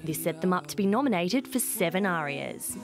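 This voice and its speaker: A woman reporter reading a scripted news package voice-over in a polished, measured way. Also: on the low side, expressive, music in the background.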